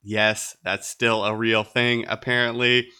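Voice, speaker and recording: A man's voice: on the low side, level tone, measured pace, sharp tone, clean tone. A man hosting a solo podcast, sounding nasal and congested, upbeat and chatty with light laughs. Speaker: podcast host.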